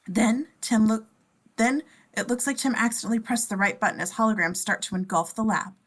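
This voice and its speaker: A woman's voice: a little high, a little fast. A woman narrating as scene description reader, reading screenplay stage directions aloud at a table read.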